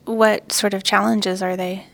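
Woman in her thirties with a gravelly edge. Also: mid-range voice, flat delivery, measured pace, a bit bright. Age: 30s. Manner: curious, asks questions. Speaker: PhD co-advisor, interviewer. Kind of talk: casual conversation.

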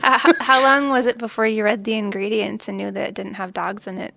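Woman in her thirties with a gravelly edge. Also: medium pitch, flat delivery, brisk, a bit bright, no room sound. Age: 30s. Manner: curious, asks questions. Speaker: PhD co-advisor, interviewer. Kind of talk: casual conversation.

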